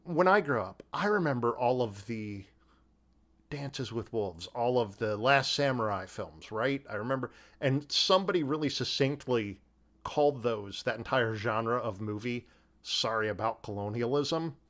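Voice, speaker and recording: Male podcast co-host, medium pitch, lively intonation, casual and wry film commentary. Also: a little slow.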